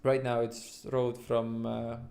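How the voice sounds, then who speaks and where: Halting Estonian-accented man, livestream host. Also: mid-range voice, monotone, vocal fry, a little mellow. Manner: halting, rambling, informal, occasional swearing. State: sleep-deprived. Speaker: livestream host, futures trader. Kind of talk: casual livestream chat with viewers.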